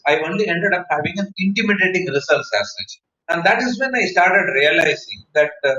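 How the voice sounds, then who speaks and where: A man's voice: sits high, sharp tone, far from the mic. A man with an Indian accent giving an online talk as a public-speaking trainer, explaining emphatically with anecdotes and repeated phrases. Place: online session over video call. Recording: muffled, echoey online call recording.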